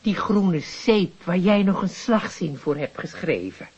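An actress playing a chatty, excitable and naive office cleaning lady in a radio play. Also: lowish voice, unhurried, slightly rough, exaggerated voice. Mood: eager. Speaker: actor. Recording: muffled radio play recording.

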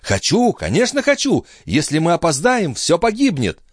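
A man voicing an anxious gentlewoman in a storybook, pleading and wailing in a high voice. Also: wildly swooping pitch, moderate pace, no room sound, studio quality. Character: frantic, anxious young gentlewoman pleading with her brother.

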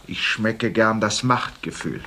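A man's voice: fairly low pitch, slow pace, bright, forceful tone, full character voice. An actor playing a cynical, suave and greedy blackmailer in a German radio play, savoring his words with sardonic relish. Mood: smug, cynical. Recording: faint noise on old radio recording.

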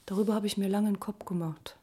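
A woman's voice: medium pitch, fairly even intonation, fast talker, warm tone, husky, no room sound, natural voice. An actress playing a lonely, self-mocking female night guard in a German radio play, musing hesitantly and repeating her words. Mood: subdued, guarded.

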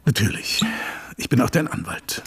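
An actor playing a sober, loyal gentleman lawyer in a German radio drama, with a husky voice and a formal, precise manner. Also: on the low side, very animated, moderate pace, noisy background. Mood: concerned, disapproving.

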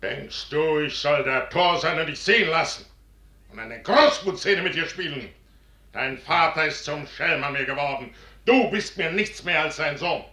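A man's voice: fairly high pitch, animated, normal speed, pushed and bright. A man acting a proud, betrayed older general in declamatory classical verse for a 1950s radio drama. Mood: bitter. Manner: declamatory, theatrical. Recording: echoey, noisy 1950s radio recording.

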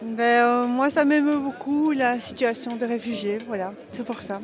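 A protester answering a street interview at a demonstration, soft-spoken and hesitant. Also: medium pitch, steady tone, normal speed, crowd noise.

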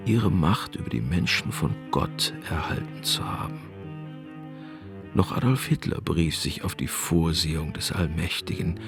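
A man delivering a measured, articulate, scripted essay as a narrator. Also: sits low, gravelly. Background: string music.

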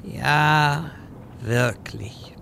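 Man as exasperated wizard, bright, creaky voice. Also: fairly high pitch, extremely slow, a little hiss or hum, full character voice. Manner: irritable, sardonic, lecturing. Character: exasperated, sarcastic male wizard guiding bumbling adventurers. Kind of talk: German fan audio drama parody.

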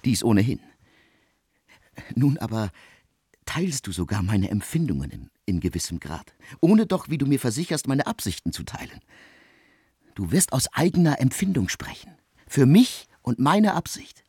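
An actor in a German radio play, playing a cool, probing, formal man with husky, deliberate, ornate and ironic diction. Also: medium pitch, very animated, a little mellow, no echo, studio quality, heavily acted. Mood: insistent. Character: cool, probing, formal man asking a friend to woo for him.

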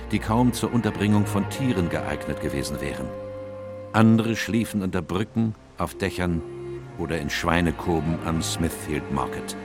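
An actor playing a grave, compassionate gentleman in a radio drama, narrating in a measured, solemn, literary manner. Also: sits low. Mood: solemn, sorrowful.

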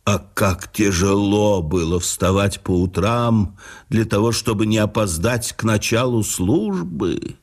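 A man reading a memoir aloud as a scripted radio narration, with a measured storytelling delivery. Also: animated. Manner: measured, storytelling delivery with dips and lifts in pitch.

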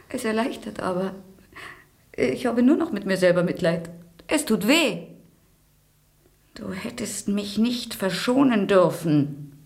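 An actress playing a grieving, bitter grand duchess in a German radio play, halting and often sinking into whispers. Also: female voice, medium pitch, lively intonation, a little mellow. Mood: pleading. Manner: halting, breathy delivery dropping into whispers. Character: grieving widowed Russian grand duchess, pleading, bitter, devout.

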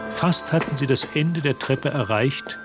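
Man as radio play narrator, medium pitch, measured n suspenseful, music underneath. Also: natural voice. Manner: measured, literary. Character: measured storyteller of a suspense tale. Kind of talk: scripted radio drama narration.

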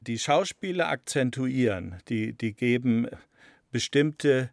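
A man giving explanatory interview answers in a deliberate, halting way, searching for exact words. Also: mid-range voice, expressive, vocal fry, no echo. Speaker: interviewed radio drama author.

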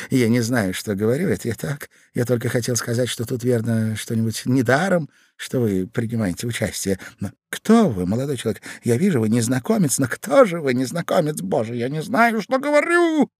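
A man voicing a meek, flustered gentleman in a classic story, agitated, pleading and elaborately polite. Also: medium pitch, moderate pace, breathy edge, no room sound, studio quality, voice pushed up, natural voice. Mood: nervous.

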